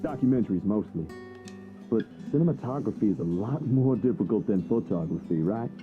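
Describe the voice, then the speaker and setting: An easygoing, modest photographer voiced by a male actor in a visual novel game. He chats casually with lively, lowish intonation, heard thin and muffled beneath clear music. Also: fairly quick, natural voice. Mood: relaxed, chatty. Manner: casual, chatty.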